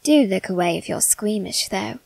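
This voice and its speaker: A woman voicing a condescending immortal demon boy in an ASMR roleplay, speaking softly with a teasing, smug manner. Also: medium pitch, animated, moderate pace, gravelly, no echo. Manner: soft-spoken, teasing and condescending.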